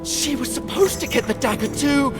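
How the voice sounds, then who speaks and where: An actor playing a defiant male captive under interrogation in a video game, halting and grudging. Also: extremely high pitch, animated. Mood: tense, grudging. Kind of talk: dramatic video game cutscene acting. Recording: loud music behind the voice.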